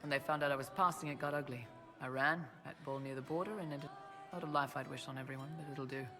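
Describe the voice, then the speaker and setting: A plainspoken mercenary lieutenant from a fantasy game, voiced by a man. He speaks bluntly and loyally in a middle-pitched voice. Music plays clearly beneath the dialogue. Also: fairly even intonation, quick pace, lightly acted. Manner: blunt, plainspoken soldier talk. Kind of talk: video game cutscene dialogue.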